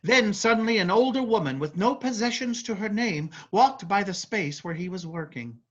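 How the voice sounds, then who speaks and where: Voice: mid-range voice, animated, normal speed, lo-fi sound, natural voice. A performer playing a chatty narrator telling a folk tale in a staged reading, with conversational asides. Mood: storytelling. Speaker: narrator of a play. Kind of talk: theatrical storytelling in a staged reading.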